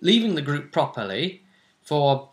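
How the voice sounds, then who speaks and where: A man's voice: on the high side, sing-song, a little slow. A man hosting as game master, giving a casual recap of a tabletop role-playing campaign. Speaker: game master and vidcast host.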